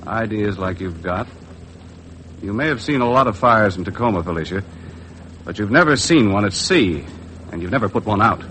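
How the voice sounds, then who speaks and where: An American actor playing a tough, blunt, world-weary charter boat skipper in 1950s radio drama style, terse and hard-boiled. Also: male voice, lowish voice, lively intonation, normal speed, pauses often, fairly bright tone. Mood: stern. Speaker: lead actor and narrator of a radio adventure. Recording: noisy vintage 1950s radio recording.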